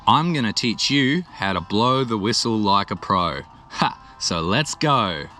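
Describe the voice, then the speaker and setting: Bright-voiced Australian man, chatty explainer. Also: fairly low pitch, very animated, a little slow, soft background music. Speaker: vlogger, musician. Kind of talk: casual explainer to camera.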